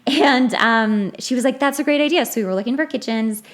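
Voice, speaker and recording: Chatty woman on a podcast, slightly high voice, blunt and animated, tells stories like she's with a friend. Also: brisk. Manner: animated, acts out quotes, blunt with swearing. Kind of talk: casual chat, anecdotes.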